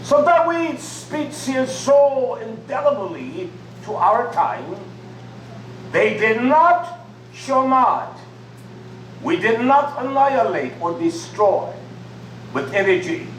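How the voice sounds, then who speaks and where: A man preaching a sermon in short, emphatic phrases. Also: high-pitched. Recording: echoey room from far off with faint noise.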